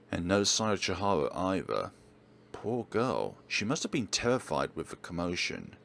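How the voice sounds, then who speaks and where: A man's voice: deep voice, vocal fry, fairly bright tone. A man hosting a let's play, narrating and commenting on a visual novel in a theatrical, emphatic way. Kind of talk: dramatic game narration and commentary.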